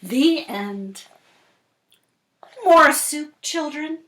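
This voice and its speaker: A woman's voice: high voice, lively intonation, extremely slow, fairly bright tone. A woman librarian talking expressively to children about a picture book, putting questions to them and responding as if they answered. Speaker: school librarian reading to children. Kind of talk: animated picture-book read-aloud and discussion for children.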